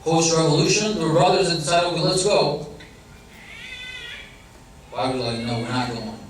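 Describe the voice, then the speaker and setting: A man's voice: a little high, normal speed. A man giving a religious lecture in a conversational way, often putting questions to the audience. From Iranian family background. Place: large hall or center. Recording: very echoey hall, heard from a distance.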